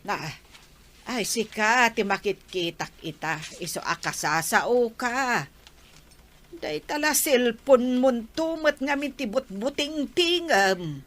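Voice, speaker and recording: An actress in an Ilocano radio drama playing a mocking, giggly young gossip with an Ilocano accent and a drawn-out, teasing delivery. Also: female voice, a little high, expressive, fairly bright tone. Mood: needling. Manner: drawn-out, teasing, breaks into laughter. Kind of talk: Filipino radio drama acting.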